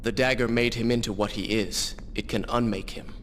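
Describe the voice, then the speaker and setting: An actor playing a determined, weary young prince with a dry, sarcastic manner in a video game. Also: male voice, medium pitch, steady tone, normal speed, close to an everyday voice. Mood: solemn. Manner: dry, wry, earnest. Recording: noisy game ambience behind.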